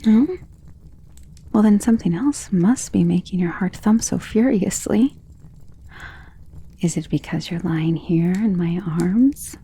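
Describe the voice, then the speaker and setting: A woman playing a centuries-old, tender and protective vampire in an intimate ASMR romance roleplay, speaking softly and earnestly, often in a whisper. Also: fairly low pitch, measured pace, pauses often, slight background noise. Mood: teasing, affectionate. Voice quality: soft, breathy, often dropping to a whisper.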